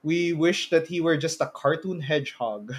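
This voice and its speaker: Man, Filipino accent, slightly high voice, casual podcast chat, co-host. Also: moderate pace, husky. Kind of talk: casual chat about movies.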